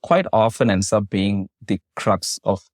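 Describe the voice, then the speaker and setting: Male doctor host, English not his first language, careful formal questioner, low voice, dry close sound. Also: animated, measured pace, dry recording. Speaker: host, physician. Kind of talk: podcast interview, asking questions.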